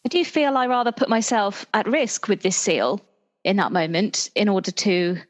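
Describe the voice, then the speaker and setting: A woman's voice: mid-range voice, normal speed, lightly acted. A woman playing an earnest field agent in an improvised roleplay, polite, straight-faced and a little hesitant. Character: female field agent earnestly defending her own exploits. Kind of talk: improvised roleplay audio drama. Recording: long reverberant room echo.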